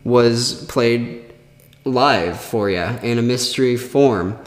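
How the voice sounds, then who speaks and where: A man in casual podcast conversation at a middling pitch. He starts, stops and rewords as he works out his thoughts. His voice rings with strong echo and a long reverberant tail. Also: takes it slowly. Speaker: podcast co-host. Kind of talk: casual chat. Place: echo-heavy indoor room.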